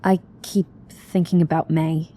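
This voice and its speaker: A woman's voice: low voice, little pitch movement, a little slow, mellow, a little hiss or hum. A woman acting in an audio drama as a guilt-ridden young woman searching for her missing sister. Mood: anguished, tearful.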